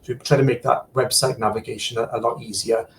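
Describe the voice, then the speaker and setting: Gravelly man explaining steadily. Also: mid-range voice, little pitch movement, quick pace, slight background noise. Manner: frequent fillers and repeated words while explaining. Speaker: guest, digital services supplier and former practice manager. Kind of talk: casual explanatory chat with screen demo.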